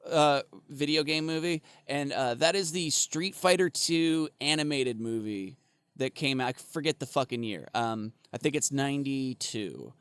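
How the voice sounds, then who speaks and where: A man's voice: mid-range voice, expressive, normal speed, fairly bright tone, smooth, no room sound. A man co-hosting a podcast in casual chat, rambling and swearing, laughing often and full of fillers. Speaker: podcast co-host.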